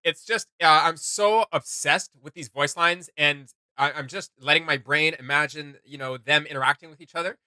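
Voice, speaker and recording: A man's voice: on the high side, moderate pace, few pauses, sharp tone, dry recording, poor audio. A man giving casual, enthusiastic reaction commentary in an informal way as host of a video.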